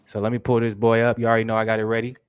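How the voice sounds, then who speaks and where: A man hosting a casual boxing talk show while sick with a stuffy nose, talking in slangy, animated bursts and filling his speech with "you know what I'm saying". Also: fairly low pitch, racing, smooth, no echo. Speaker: host.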